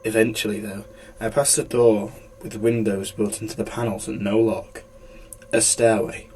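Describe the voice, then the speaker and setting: A man's voice: on the low side, flat delivery, normal speed, a little mellow. A man reading a scripted first-person horror story as narrator. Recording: faint background noise, amateur recording.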